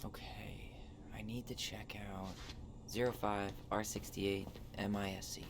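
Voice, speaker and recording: A man's voice: on the low side, flat delivery, unhurried. An actor playing a wounded, angry young American man in a naturalistic video game drama. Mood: muttering, focused. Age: young adult. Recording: noisy game capture.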